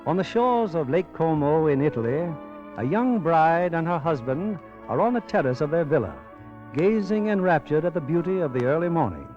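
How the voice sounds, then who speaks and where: A man narrates a 1940s radio drama from a script. His voice is fairly high, on a slightly muffled old recording with soft music. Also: animated, normal speed.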